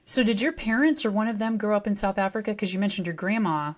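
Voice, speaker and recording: A woman's voice: mid-range voice, fast talker. A woman from rural Nebraska hosting a podcast, asking friendly questions with enthusiasm. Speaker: podcast host. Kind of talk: casual interview talk.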